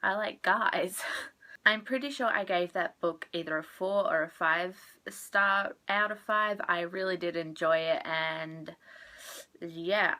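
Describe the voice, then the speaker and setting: A woman's voice: lowish voice, steady tone, takes it slowly, bright, forceful tone. A young woman chatting casually about books she read, a little stuffed up from sneezing, rambling and correcting herself. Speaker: book vlogger. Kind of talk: casual book wrap-up chat.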